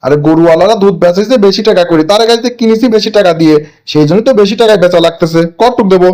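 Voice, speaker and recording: A shifty older cartoon milkman, acted by a man. His voice is high and hurried. He sounds indignant and insistent as he sells. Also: darkish tone, lightly acted. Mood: defensive, wheedling. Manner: indignant, insistent sales patter. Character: defensive older male milkman, slyly dishonest. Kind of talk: comic cartoon dialogue.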